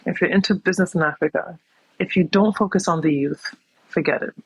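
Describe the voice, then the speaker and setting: A woman's voice: lowish voice, fairly quick, breathy edge, no echo, poor audio. A woman from New York, a podcast guest talking in a reflective, conversational way and often saying "you know". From New York City, United States. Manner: reflective, thoughtful, many "you know" fillers. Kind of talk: casual conversational interview.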